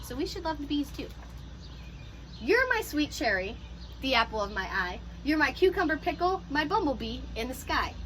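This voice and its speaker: A woman's voice: high voice, big pitch swings, moderate pace, fairly bright tone, slight background noise. A woman reading a picture book aloud and explaining it simply for young children, in the manner of a teacher.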